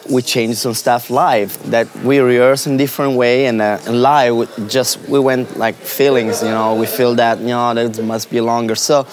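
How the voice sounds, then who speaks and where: A man, a band musician, speaking slowly and haltingly in a backstage interview indoors, repeating words. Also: mid-range voice, little pitch movement, crowd noise.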